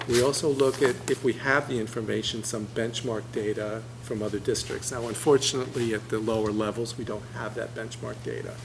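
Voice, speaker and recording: A man's voice: medium pitch, creaky. A man, a school administrator, explaining methodically at a public meeting. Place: meeting room. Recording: noisy hum behind the voice.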